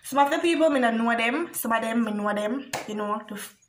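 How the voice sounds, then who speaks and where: A woman's voice: fairly high pitch, fairly quick, a little hiss or hum. Young Jamaican woman, echoey room. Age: young adult. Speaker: video blogger. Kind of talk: casual chat to camera. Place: small bare indoor room.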